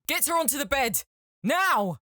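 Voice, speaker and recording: A narrator voicing an excitable, nervous elf healer in a fantasy audiobook, given to exclaiming and awkward stammering. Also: high voice, a little slow, no echo, studio quality, pitched above the performer's own voice, exaggerated voice. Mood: urgent shouting. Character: excitable, nervous elf healer man, friendly game guide. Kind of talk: audiobook character acting in a fantasy story.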